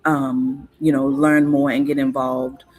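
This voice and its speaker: A woman's voice: extremely low pitch, little pitch movement, a little mellow. Easygoing woman. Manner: unhurried, informative, with frequent fillers and restarts. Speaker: guest on cultural topics. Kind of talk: casual conversational interview.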